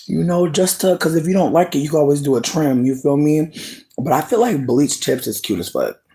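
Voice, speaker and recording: A person livestreaming casual chat with viewers, sassy and expressive with lots of swearing, talking while snacking. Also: mid-range voice, brisk.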